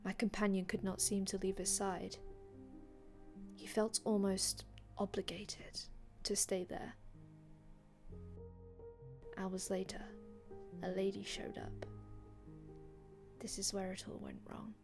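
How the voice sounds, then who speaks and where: A woman voicing a melancholy vampire from an older time in an ASMR roleplay, speaking in a hushed, breathy way with dramatic pauses and formal, old-fashioned wording. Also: mid-range voice, little pitch movement. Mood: wistful, hushed storytelling. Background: music.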